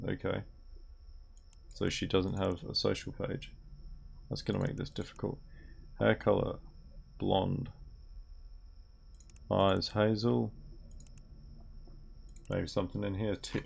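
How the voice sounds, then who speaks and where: Young male gaming creator, low gravelly voice, thinks out loud over faint keyboard clicks. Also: slight background noise. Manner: mutters aloud while reading on-screen text. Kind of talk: game commentary while playing. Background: keyboard typing and clicks.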